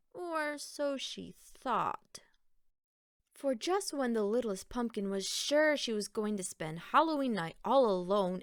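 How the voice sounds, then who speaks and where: A woman narrating a children's picture book aloud in a deliberate, expressive storytelling manner. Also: mid-range voice, clean tone, no echo, clean studio sound.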